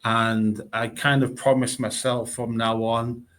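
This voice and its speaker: A man's voice: medium pitch, little pitch movement, slow pace, fairly bright tone. A man on a live webinar giving an earnest, emphatic personal testimony. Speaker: webinar panelist.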